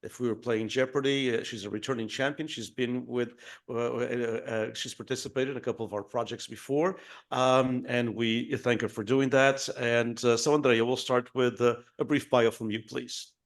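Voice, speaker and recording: A man moderating an author panel, courteous and halting, with many "uh" fillers. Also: medium pitch, steady tone.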